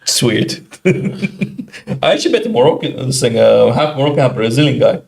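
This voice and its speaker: A man co-hosting a podcast, telling a video game story in a casual, animated way and acting out what other players said. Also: mid-range voice, vocal fry. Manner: animated, quick, acts out quoted players. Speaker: podcast co-host.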